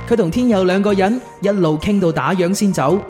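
A woman's voice: mid-range voice, a little fast, a little mellow. A woman with a Hong Kong Cantonese accent reading scripted narration for a radio drama. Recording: music behind the narration. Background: background music.

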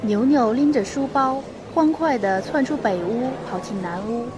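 A woman's voice: on the high side, animated, normal speed, background noise. A young woman reading scripted storytelling narration for a student radio drama. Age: young adult. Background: music.

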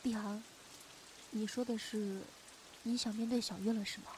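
A woman's voice: fairly high pitch, fairly even intonation, normal speed, a little mellow, slight background noise, natural voice, poor audio. A woman playing a loyal, protective young friend in an audio drama, earnest and reassuring. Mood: gentle, concerned. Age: young adult. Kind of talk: Chinese audio drama acting.

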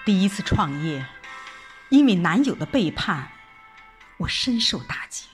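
A woman's voice: on the low side, sing-song, a little slow, faint music behind. A woman giving an emotive, dramatic first-person narration of a scripted story, like an audiobook reader. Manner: emotive, theatrical delivery.

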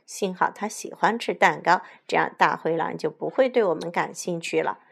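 A woman's voice: fairly low pitch, normal speed, vocal fry, a bit bright, husky, heavily acted. A woman voicing a plucky, good-natured young male chicken in a children's story, sounding earnest.

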